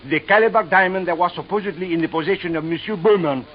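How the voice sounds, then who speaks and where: A man playing a proud, excitable French gem appraiser with a French accent in a 1950s radio drama, formal and florid in his speech. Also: high-pitched, fast talker, lightly acted. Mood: dramatic, pleading. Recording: dull, noisy old radio recording.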